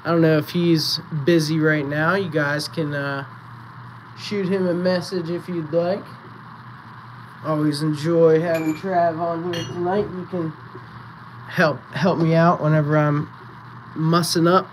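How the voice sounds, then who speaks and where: A man hosting a live-streamed auction, chatting casually and counting down bids, with a voice going raspy. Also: on the high side, steady tone, unhurried, a little hiss or hum, rough recording. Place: small indoor photo booth setup.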